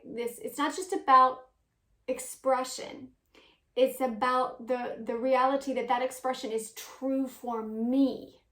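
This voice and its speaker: Woman, 40s, spiritual teacher, earnest musing monologue, slightly high voice, roomy echoey recording. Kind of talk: reflective spoken monologue. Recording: echoey room.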